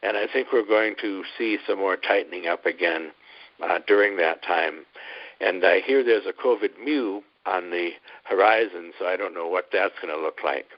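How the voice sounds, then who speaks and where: Low-voiced Midwestern older man, hesitant. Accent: Midwestern American. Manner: hesitant, many ums, measured. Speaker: guest obstetrician. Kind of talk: casual interview answers. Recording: muffled radio audio.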